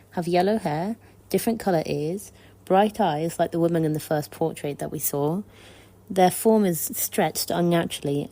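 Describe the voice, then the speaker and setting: Mellow, soft-toned woman reading commentary. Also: low-pitched. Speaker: listener contributor sending a voice message. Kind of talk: scripted fan commentary.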